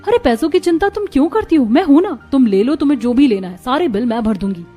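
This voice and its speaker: Spoiled rich cartoon college girl, woman performer: breezy, self-assured, higher voice, music bed. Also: expressive, fast talker, warm tone. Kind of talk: cartoon story dialogue.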